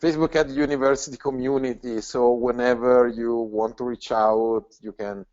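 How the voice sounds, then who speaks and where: A man's voice: mid-range voice, level tone, moderate pace, a little mellow. A man from Italy with an Italian accent, presenting casually on a webinar in a frank, plain-spoken way. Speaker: guest presenter. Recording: dull, lo-fi webinar audio.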